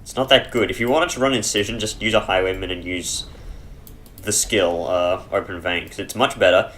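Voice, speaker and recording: A man giving casual, rambling commentary over a dungeon game on a headset mic, chesty and clearing his throat, with dry humor. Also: low-pitched, fairly even intonation, normal speed, fairly bright tone, slight background noise. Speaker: let's play gamer.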